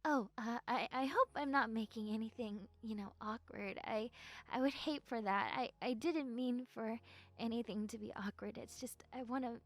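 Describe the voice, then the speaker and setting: Woman acting a shy, high-voiced young goth girl. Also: normal speed, no echo, close to an everyday voice. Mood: nervous, bashful. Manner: hesitant, stammering, soft-spoken. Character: shy young goth best friend, sweet and nervous. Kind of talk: intimate audio roleplay, scripted confession.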